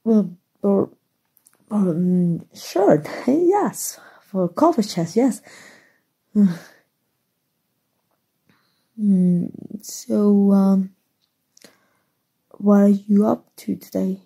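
A woman from Mexico playing a shy, flustered, nerdy gamer superheroine in an ASMR roleplay, soft and halting, with stammers. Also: medium pitch, animated, exaggerated voice. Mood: shy, tender. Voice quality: breathy, soft.

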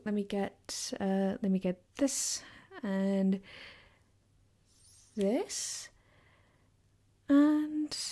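A woman playing a caring, affectionate girlfriend in an ASMR comfort roleplay, speaking softly and gently with hesitant, reassuring warmth. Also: mid-range voice. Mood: tender. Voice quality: soft, gentle, sometimes dropping to a whisper.

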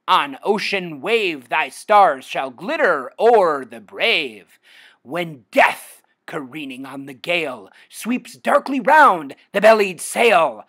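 Male poetry reader, theatrical and declamatory, big pitch swings, husky tone. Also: a bit bright. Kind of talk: dramatic poem recitation.

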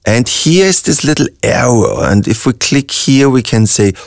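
A young man with an Austrian German accent, a music producer calmly walking through a software tutorial step by step with frequent ums. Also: mid-range voice, normal speed, dry recording, clean studio sound. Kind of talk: screen-recorded software tutorial.

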